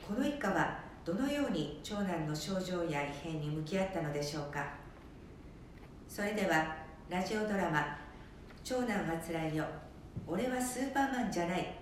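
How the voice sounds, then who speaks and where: A woman's voice: fairly low pitch, animated, moderate pace. A woman giving scripted narration for a radio drama. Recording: very echoey, distant mic, faint background noise.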